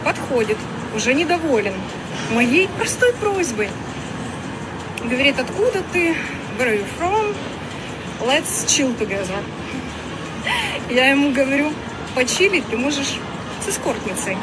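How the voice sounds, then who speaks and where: High-pitched woman, indignant street vlogger, loud traffic noise. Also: unhurried, long pauses. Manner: indignant, animated retelling with quoted dialogue. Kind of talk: storytelling vlog. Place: outdoors on a street.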